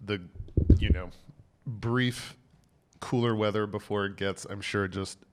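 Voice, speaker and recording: A very low-voiced man of about forty sits in on a relaxed podcast chat as the guest comedian. He rambles along with plenty of fillers and laughs often. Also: a little slow. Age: 40s.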